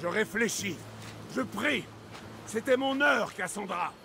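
An aggrieved young warrior with a high, creaky voice. He sounds proud, lovesick and intense in a game scene, with music underneath. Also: expressive, slow pace, bright, forceful tone, heavily acted. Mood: bitter, desperate. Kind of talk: French video game dub acting. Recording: music behind the voice.

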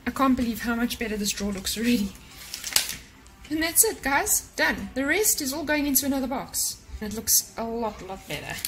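A woman's voice: fairly high pitch, expressive, normal speed, noisy background. A woman in her thirties talking casually to camera in her home kitchen, giving practical cleaning and organizing tips to viewers. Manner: chatty and practical, gives tips directly to viewers. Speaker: cleaning vlogger. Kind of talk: casual how-to narration to camera.

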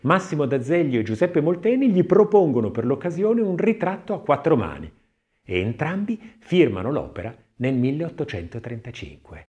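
A man reading scripted literary narration as an audiobook narrator, with measured, theatrical phrasing. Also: a little high, very animated, mellow.

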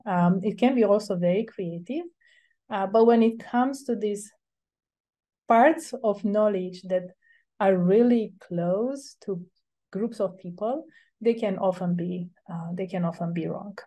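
A middle-aged woman speaks over a video call, her voice soft and mellow. She explains her ideas earnestly and at a measured pace, pausing often to think. It sounds like an academic webinar talk given from a home office. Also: mid-range voice. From Romania. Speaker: invited speaker.

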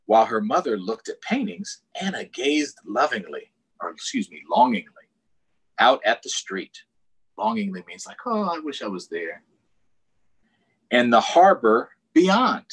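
Casting: American man, middle-aged, slightly husky voice, very animated children's storytime reader who explains things as he goes. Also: mid-range voice, measured pace, poor audio. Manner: expressive, explaining to young children. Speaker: storytime host. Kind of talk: children's storytime read-aloud with chatty asides. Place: small room at home, indoors. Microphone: computer or tablet on a video call.

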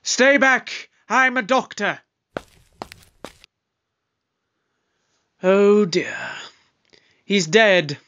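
A man's voice: high-pitched, expressive, sharp tone, lo-fi sound, full character voice. A man voicing an eccentric, breezy male time traveler in a fan audio drama, talking in a light, offhand way. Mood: urgent. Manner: light, offhand, drawn-out delivery.

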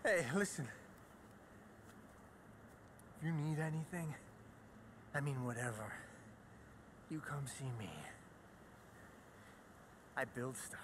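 An actor playing an eccentric, broody middle-aged man in a video game, earnest and insistent, muttering in halting phrases. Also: fairly high pitch, animated, slight background noise. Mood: ominous, earnest. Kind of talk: video game cutscene acting.

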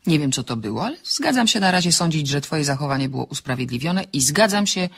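A woman's voice: sits low, big pitch swings, quick pace, husky, full character voice. An actress playing an inquisitive, self-possessed young woman in a radio play, teasing with dry, ornate irony. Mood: composed, formal. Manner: teasing, ornate, dryly formal phrasing.

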